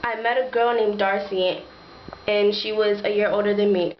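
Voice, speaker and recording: A woman's voice: medium pitch, steady tone, moderate pace, natural voice. Hesitant young survivor, played by a woman, plain-spoken and uneasy, in a roomy, noisy student drama recording. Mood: uneasy, hurt. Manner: hesitant, casual youthful phrasing. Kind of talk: student-acted mock interview. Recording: echoey, noisy lo-fi room recording.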